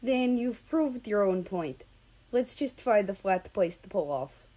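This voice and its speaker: A woman voicing an easygoing, teasing male travel companion in a story reading, relaxed and thoughtful in manner. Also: medium pitch, unhurried, low-quality recording.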